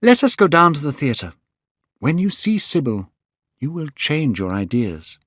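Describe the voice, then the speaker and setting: A man voicing an eager, lovestruck young man in a spoken storybook, pitched high and gushing. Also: very animated, a little slow, a bit bright, no room sound, clean studio sound, full character voice. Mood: excited, gushing.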